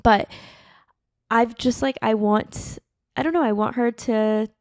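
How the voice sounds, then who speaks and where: A woman's voice: fairly high pitch, fairly even intonation, takes it slowly, a little mellow, no echo, studio quality. A woman in her late twenties hosting her own podcast, talking alone in an earnest, chatty way with lots of fillers. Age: late 20s. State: tearful, emotional. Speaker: podcast host. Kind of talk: casual solo podcast monologue.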